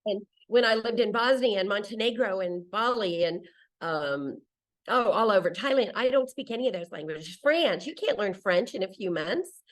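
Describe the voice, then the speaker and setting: A woman in her sixties with a mid-pitched voice, speaking native English as a guest in a conversation. She tells anecdotes in a casual, wandering way, breaking off and restarting as she goes. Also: lively intonation, moderate pace, poor audio. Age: 60s. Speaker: interview guest, solo traveler.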